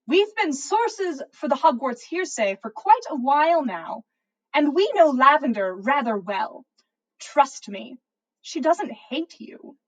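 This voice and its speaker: A woman reading a story and voicing a knowing, gender fluid teenage wizard student who talks slowly and condescendingly, as if to a child. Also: high voice, sing-song, voice pushed up, heavily acted, rough recording. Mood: patronising. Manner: slow, patronising, as if to a child.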